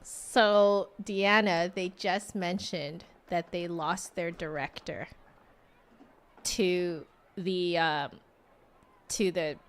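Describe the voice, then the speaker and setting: A woman's voice: on the low side, steady tone, very slow, clean tone. A woman giving casual live game commentary as a streamer, chatty and rambling. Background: game music.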